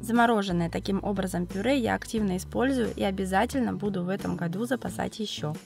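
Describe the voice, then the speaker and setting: A woman with a cold, narrating a cooking video as its host, explaining each step calmly and methodically. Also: mid-range voice, fast talker, gravelly, over music. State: has a cold, voice affected. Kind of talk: calm recipe voice-over narration.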